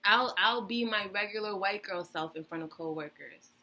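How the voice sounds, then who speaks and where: A woman's voice: mid-range voice, moderate pace, bright, forceful tone. A young adult woman in casual chat with a friend, speaking directly and dropping playful asides. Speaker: co-host.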